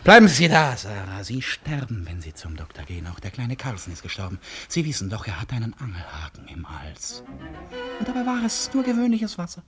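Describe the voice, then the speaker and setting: An actor in a German radio drama playing an agitated, feverish, accusing man, husky and theatrical, swinging between whispers and shouts. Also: on the low side, wildly swooping pitch, brisk, faint music behind. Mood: feverish, confused.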